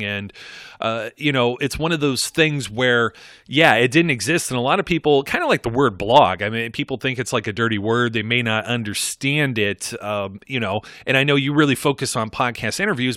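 A man's voice: medium pitch, pushed and bright, no echo, clean studio sound. A man in his thirties hosting a podcast, talking in a loose, rambling way with lots of restarts. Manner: rambling, many fillers and restarts. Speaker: podcast host. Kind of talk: conversational interview.

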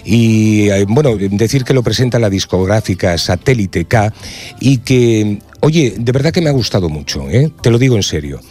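A man's voice: fairly low pitch, animated, moderate pace, darkish tone. A man hosting a casual radio interview, chatty and hesitant, with lots of fillers. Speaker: radio host.

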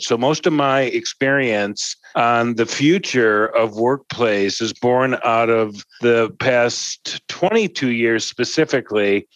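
A man's voice: medium pitch, no room sound, dull audio. A man in his fifties giving polished, jargon-heavy interview answers about his work. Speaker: guest, workplace strategy director.

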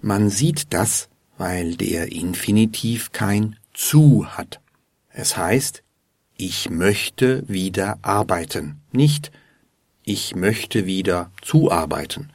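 A man hosting a lesson podcast, slowly and patiently explaining grammar to learners. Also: low voice, expressive, a little mellow, no room sound, clean studio sound. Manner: deliberate and patient. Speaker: German teacher and podcast host. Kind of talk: slow, clear explanation for learners.

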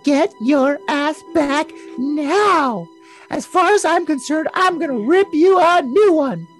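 A woman's voice: sits high, animated, takes it slowly, soft background music. A woman playing a clingy, volatile young woman in an overly dramatic comedic reading of text messages. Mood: angry outburst. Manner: exaggerated, emotionally dramatic.

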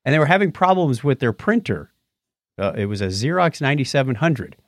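A man's voice: medium pitch, sing-song, a little fast, no room sound, studio quality. A middle-aged man co-hosting a podcast, explaining and retelling things in casual tech chat. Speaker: podcast co-host.

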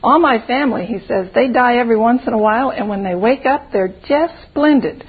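A woman voicing a small, cheerful storybook caterpillar with a soft, woolly, fuzzy voice and folksy old-time country speech. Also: fairly high pitch, brisk, husky, narrow, tinny audio. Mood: eager, cheerful.

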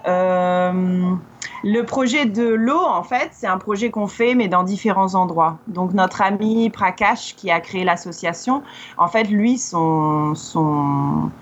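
A woman's voice: fairly low pitch, takes it slowly, dull audio, a little hiss or hum. Hesitant woman from northern France. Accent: northern French. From Lille, northern France. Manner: hesitant, many fillers and restarts. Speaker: charity volunteer guest. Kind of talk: casual podcast interview. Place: lives in Melbourne.

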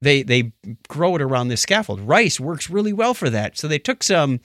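A man's voice: on the high side, big pitch swings, normal speed, fairly bright tone, dry recording, studio quality. A man co-hosting a casual podcast about news stories, chatting in a joking manner.

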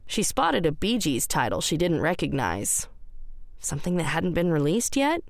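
Low-voiced woman narrating fiction, very dry. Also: very animated, moderate pace, creaky, no echo. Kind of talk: scripted story narration, audio fiction. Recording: roomless dry studio, faint music underneath. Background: faint music.

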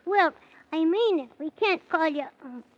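A woman's voice: extremely high pitch, lively intonation, measured pace. A woman playing an earnest, polite six-year-old boy in a 1930s children's radio play, asking things hesitantly. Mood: puzzled, polite. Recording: muffled, noisy vintage 1930s radio recording.

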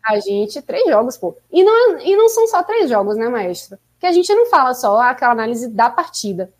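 A woman's voice: high voice, very animated, fairly quick. Chatty, upbeat woman co-host. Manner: chatty, upbeat, urging viewers to like and subscribe. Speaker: podcast co-host. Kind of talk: casual live-stream chat.

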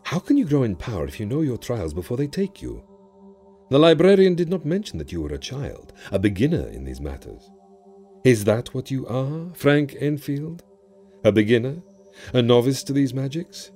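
A man's voice: mid-range voice, big pitch swings, moderate pace, mellow, slightly rough, faint music behind, voice pushed up. A man voicing a proud, wily ancient djinn in a theatrical, taunting and declamatory manner for an audio story. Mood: mocking.

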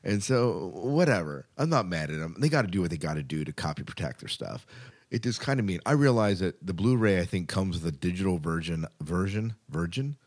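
A man's voice: deep voice, animated, a little fast, darkish tone. A man co-hosting a podcast in casual chat, rambling along informally and with enthusiasm. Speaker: podcast co-host.

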